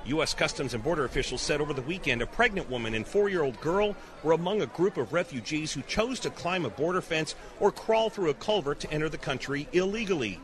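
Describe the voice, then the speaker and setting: A man's voice: a little high, expressive, fast, few pauses. Male reporter, crowd noise behind him. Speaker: field news reporter. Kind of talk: scripted news narration and field questions. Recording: outdoor urban crowd noise. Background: crowd and street sounds outdoors.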